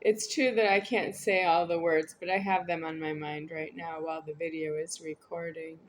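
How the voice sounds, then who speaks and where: A woman's voice: low-pitched, steady tone, normal speed, a little hiss or hum. A woman talking to camera in a meandering, repetitive, earnest monologue. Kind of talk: rambling spoken monologue to camera.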